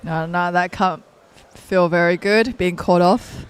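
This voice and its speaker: An Australian man co-commentating a poker match from a booth, with relaxed, jokey banter. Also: sits high, takes it slowly, clear, resonant tone, low-quality recording.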